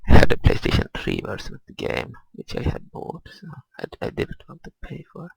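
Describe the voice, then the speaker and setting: A man giving casual gameplay commentary, thinking aloud in a hesitant, grumbling, husky and gravelly way. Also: brisk, no echo, rough recording. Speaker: gamer recording gameplay commentary.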